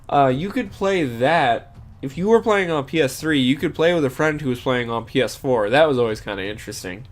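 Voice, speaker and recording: A man's voice: medium pitch, animated, brisk. A young man chatting casually while streaming a game, stuffy-nosed and sniffling, rambling with many fillers. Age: young adult. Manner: rambling, lots of fillers and self-corrections. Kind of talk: casual gameplay stream chat.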